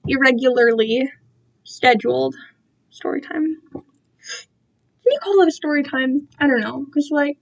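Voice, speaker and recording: A woman's voice: high voice, unhurried, creaky, pauses often, lo-fi sound. A young woman chatting casually on a livestream in a rambling, self-deprecating way full of giggly internet slang. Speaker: amateur writer reading own fan fiction.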